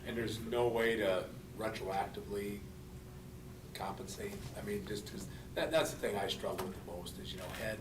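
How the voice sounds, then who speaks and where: A man's voice: on the low side, normal speed, roomy sound, far from the mic, noisy background. A man on a school board, thinking aloud hesitantly as he raises his concerns. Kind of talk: public meeting discussion. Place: school board meeting room.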